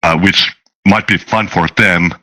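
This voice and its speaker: Deep guy, crisp bright voice, game dev chatting casually, kinda stop and start. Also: steady tone, a little slow. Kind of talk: casual chat.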